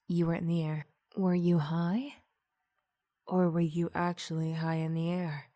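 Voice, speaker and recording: A woman quietly narrating a second-person story in an ASMR style, with a subdued delivery. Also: on the low side, no room sound. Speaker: ASMR roleplay performer, narrator.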